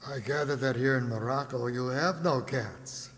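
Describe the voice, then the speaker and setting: An actor playing a polite, confident merchant sea captain in a children's radio play, with a jovial, formal delivery. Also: male voice, mid-range voice, moderate pace, fairly bright tone, lightly acted. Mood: assured. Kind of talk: children's radio drama acting. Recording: reverberant hall sound.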